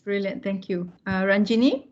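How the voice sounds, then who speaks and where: Woman, group moderator, affirming manner, medium pitch, muffled low-fidelity recording. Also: moderate pace, a little mellow, slight background noise. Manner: encouraging, affirming. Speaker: book club host and moderator. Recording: dull lo-fi online call audio.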